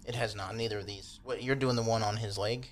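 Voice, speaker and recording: A low-pitched man narrates a tabletop fantasy game as game master. He talks informally and makes up descriptions as he goes, with faint noise and watery ambience behind him. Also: steady tone, fast talker. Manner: informal, loosely improvised descriptions.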